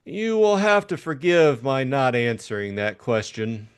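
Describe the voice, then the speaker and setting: Here is a suave, effete gentleman schemer from a hard-boiled audiobook, played by a man. His voice sits high and moves slowly, with swooping intonation. He is formal, precise and evasive, polite to a fault. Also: sing-song, clean tone. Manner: formal, mannered, over-polite phrasing.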